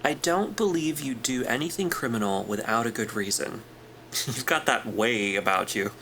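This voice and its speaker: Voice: medium pitch, expressive, moderate pace, sharp tone, a little hiss or hum. An actor playing a glib, friendly corporate clerk in a sci-fi audio drama, breezy, wry and casual in a naturalistic style. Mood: amused, coaxing. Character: glib, teasing, friendly corporate clerk smoothing things over.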